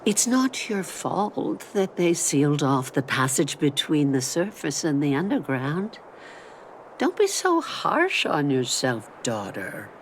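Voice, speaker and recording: A woman's voice: sits low, sing-song, slow pace, slight background noise, exaggerated voice. A woman voicing a loving, consoling elderly mother in a video game, with a soothing, emotional manner. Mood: tender, reassuring. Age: older. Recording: music playing behind the voice.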